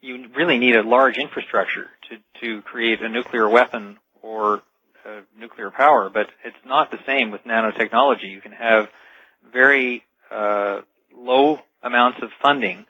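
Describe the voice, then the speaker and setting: A man's voice: mid-range voice, fairly even intonation, normal speed, no room sound. A man speaking as an expert radio guest, explaining technology informally in dull, lo-fi interview audio.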